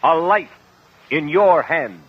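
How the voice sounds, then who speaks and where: A man's voice: on the high side, animated, slow. A man with an American accent making portentous, scripted announcements in the dramatic style of 1950s radio. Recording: dull lo-fi old radio recording. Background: loud music.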